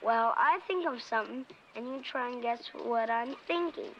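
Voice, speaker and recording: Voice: medium pitch, normal speed, muffled recording, a little hiss or hum, natural voice. A child actor playing a curious, earnest young boy in scripted television family dialogue, plainspoken and inquisitive. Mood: eager, playful. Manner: inquisitive, plainspoken, matter-of-fact.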